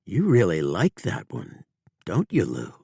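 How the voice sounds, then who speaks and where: A male narrator raises his voice a little to play a cold, menacing woman bounty hunter. She speaks flatly and calmly, and the recording is dry and close. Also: low-pitched, unhurried, vocal fry, breathy edge, dry recording, exaggerated voice.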